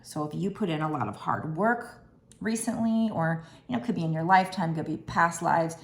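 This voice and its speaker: A woman doing a tarot card reading, talking gently and musingly with restarts, her throat a little raspy. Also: low voice, expressive, normal speed, roomy sound. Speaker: tarot reader.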